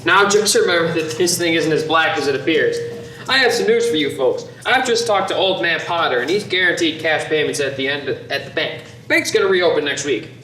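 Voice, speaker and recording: Man playing bright, earnest small-town young man. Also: fairly high pitch, lively intonation, fairly quick, faint music behind. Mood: firm, pleading. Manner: earnest, emphatic, stammering when flustered. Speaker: actor in a youth group radio play. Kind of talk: radio play acting. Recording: echoey room, faint noise.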